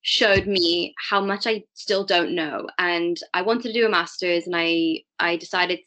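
A woman's voice: lowish voice, fairly even intonation, measured pace, a bit bright, rough recording. A young woman from Malta, a diversity and inclusion manager, talking casually as an interview guest with many fillers and restarts.

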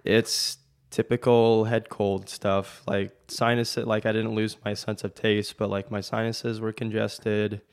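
A man's voice: on the low side, flat delivery, unhurried, clean tone. A young man who coaches at a gym, chatting casually as podcast co-host and rambling with lots of fillers. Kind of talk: casual chat.

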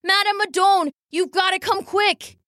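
A woman voicing a young boy in a storybook who gets upset and shouts urgently, gasping for breath. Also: fairly low pitch, expressive, takes it slowly, dry recording, studio quality, voice pushed down. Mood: frantic.

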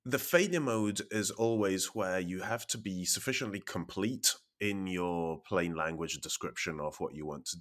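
A man's voice: sits low, a bit bright. A man hosting a tech podcast, sounding congested from a cold, who leads the chat and wryly hands questions to his co-hosts. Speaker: podcast host.

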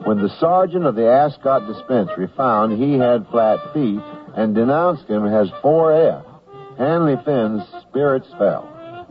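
A man hosting a radio show, reading scripted narration that sets up a comic story. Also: mid-range voice. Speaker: host and narrator. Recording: phone-like narrow radio recording. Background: music.

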